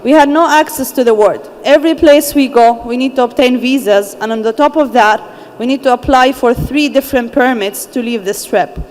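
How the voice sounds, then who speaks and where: A young woman, a founder speaking on a conference panel, earnest and measured, pausing to find her words. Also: fairly high pitch, a little mellow. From Gaza, Palestine. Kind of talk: personal story told on a conference panel. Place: large conference hall. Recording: echoey conference hall with faint ambience.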